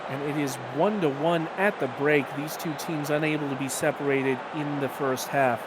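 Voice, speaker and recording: A man calling play-by-play for a simulated soccer match in a measured, descriptive manner. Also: a little high, fairly even intonation, heavy background noise. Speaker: play-by-play commentator. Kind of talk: play-by-play commentary.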